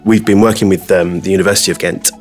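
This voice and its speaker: A man from England with a very deep voice answers interview questions. He speaks reflectively, pausing and restarting as he works through his thoughts. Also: steady tone, soft background music. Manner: reflective, many fillers and restarts. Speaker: training company director.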